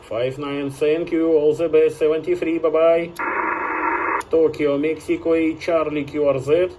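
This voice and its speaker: High-voiced man on a noisy ham radio. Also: little pitch movement, a little slow. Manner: repeats short stock phrases, polite. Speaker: amateur radio operator. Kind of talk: formulaic ham radio contact exchanges with spelled call signs. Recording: very noisy radio static and clicks.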